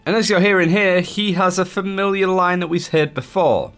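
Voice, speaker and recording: Man, higher-than-average pitch, gaming video voice-over, chatty, explanatory presenter. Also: moderate pace, a bit bright, faint music behind. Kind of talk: gaming news commentary voice-over.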